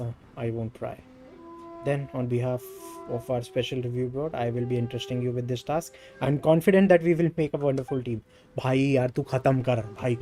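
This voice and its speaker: Flat-toned, mellow Indian man streaming. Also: medium pitch, a little hiss or hum. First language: Hindi. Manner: stumbles over words when reading aloud. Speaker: game streamer. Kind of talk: live gameplay stream, reading game dialogue aloud with casual asides.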